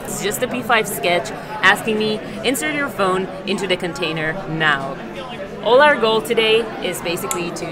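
A female graduate student demonstrating her project in a halting way with many uhs. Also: mid-range voice, animated, a loud crowd. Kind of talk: exhibition demo explanation. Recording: noisy crowded exhibition recording.

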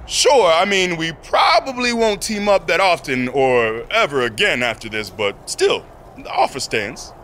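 An actor playing an upbeat, friendly big wrestler teammate in a video game. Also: male voice, a little high, very animated, measured pace, pushed and bright, a little hiss or hum, exaggerated voice. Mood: friendly, encouraging. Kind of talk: scripted video game cutscene acting.

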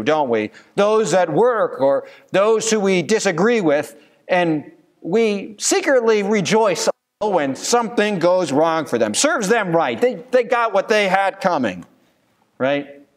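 A man's voice: high voice, lively intonation, slow. A man in his thirties, a pastor preaching a sermon at a church pulpit, earnest and given to rhetorical questions. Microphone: pulpit microphone.

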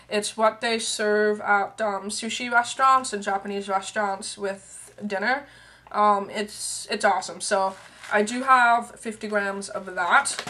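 A woman's voice: mid-range voice, sharp tone, a little hiss or hum. A young woman from Canada vlogging casually to her camera from a small room, rambling with lots of fillers and asides while her voice wears out. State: voice worn and fading. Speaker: beauty and tea vlogger. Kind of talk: casual chatty vlog. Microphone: webcam at arm's length.

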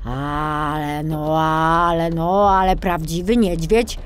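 A woman playing an excitable, sceptical young male forester in a children's storybook, expressive and exclaiming. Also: sits low, takes it slowly, a little hiss or hum. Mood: delighted. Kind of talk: children's storybook character acting.

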